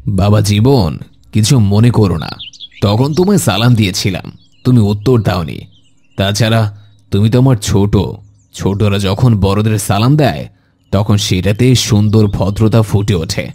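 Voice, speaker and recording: A man plays an elderly grandfather in an Islamic moral cartoon. His voice sits on the low side, and he speaks in a measured, instructive way. He is kindly and devout, gently admonishing his family.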